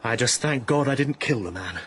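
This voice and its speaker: A man acts a searching, idealistic gentleman in a British radio drama. His British voice is medium in pitch and swings expressively, fervent yet hesitant, over faint background ambience. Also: animated, fairly quick, a little hiss or hum, natural voice. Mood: troubled. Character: earnest, idealistic, searching gentleman, a sensitive believer.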